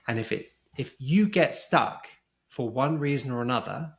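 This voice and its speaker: A man's voice: medium pitch, expressive, moderate pace, gravelly, a little hiss or hum. A man talking as a guest in a podcast interview, explaining things thoughtfully and often restarting his sentences. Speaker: podcast guest, surrogacy community co-founder. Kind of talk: casual conversational interview.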